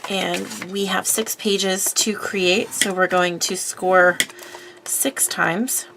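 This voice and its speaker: A woman's voice: mid-range voice, steady tone, slow pace, creaky, background noise. A woman with a congested voice from a cold, chatting casually and rambling with fillers while working at her craft desk. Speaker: papercraft video host.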